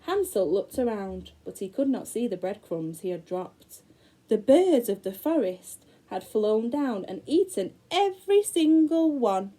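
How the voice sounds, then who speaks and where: A woman teacher reads a fairy tale to little children, her pitch rising and falling in a lively sing-song. Her voice is mellow and soft, and she lingers on words for dramatic effect. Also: poor audio. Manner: expressive, slow, dramatic storytelling with gasps. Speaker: primary school teacher. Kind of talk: storybook read-aloud for young children.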